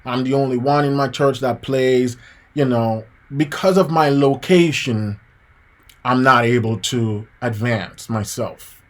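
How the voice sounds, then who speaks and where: A man's voice: mid-range voice, a little slow. Encouraging man teaching piano on a livestream. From Jamaica. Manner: casual and preachy, addresses viewers as guys and man, repeats key points. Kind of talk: live-streamed motivational piano lesson.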